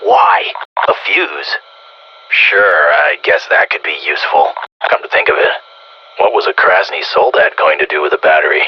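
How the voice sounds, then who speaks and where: A smug, treacherous male scientist from a video game, speaks over a dull radio channel. His American voice is deep and heavily rasped. He sounds sardonic and condescending, pleased with his own cleverness. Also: big pitch swings, moderate pace, exaggerated voice. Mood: intrigued, calculating. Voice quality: raspy, gravelly. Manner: condescending, sarcastic, self-congratulatory. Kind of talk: video game character voice lines. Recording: dull, band-limited radio-comms sound.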